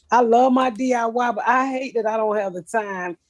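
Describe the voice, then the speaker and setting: Deep-voiced, blunt, profane woman host. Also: unhurried. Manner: blunt, profane, laughs a lot. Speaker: podcast host. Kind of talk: casual comedic chat.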